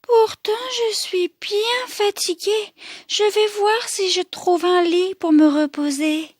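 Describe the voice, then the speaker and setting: A woman voicing a curious, tired little girl from a children's storybook in an expressive, girlish way. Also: very high-pitched, takes it slowly, no room sound, studio quality, voice pushed up. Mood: tired, annoyed.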